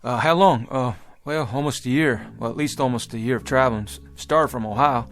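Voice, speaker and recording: A man's voice: medium pitch, a little fast, fairly bright tone, a little hiss or hum, lightly acted. Actor as a bewildered, stammering pioneer man. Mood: dazed, polite. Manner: hesitant, stammering, folksy old-time phrasing. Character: bewildered, earnest pioneer wagon traveler from the past. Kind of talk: radio drama acting.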